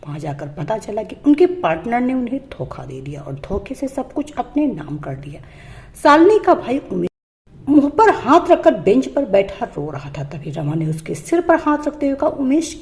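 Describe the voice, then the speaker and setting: A woman's voice: medium pitch, very animated, moderate pace, gravelly, darkish tone. A woman narrating a scripted moral family story as a storyteller.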